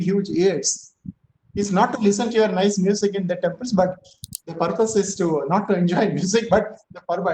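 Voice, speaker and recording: A male physics professor giving an online lecture, chatty, with joking asides and filler pauses. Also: high-pitched, darkish tone, reverberant, a little hiss or hum, poor audio. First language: not Tamil.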